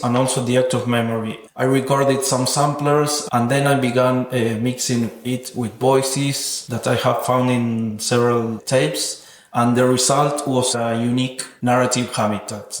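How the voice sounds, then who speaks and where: A man speaking reflectively and earnestly as an interviewed artist, with hesitant, non-native phrasing. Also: mid-range voice. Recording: roomy, echoing sound.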